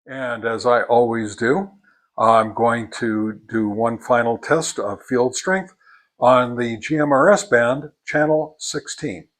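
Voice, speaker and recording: An older American man giving a casual, unhurried product review, explaining things step by step. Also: mid-range voice, fairly bright tone. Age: older, 60s or above.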